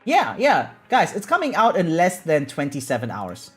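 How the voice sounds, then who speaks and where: A woman's voice: low-pitched, huge pitch swings, moderate pace, breathy edge. A woman game streamer chatting casually and reacting live, in an informal way. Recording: game music behind voice.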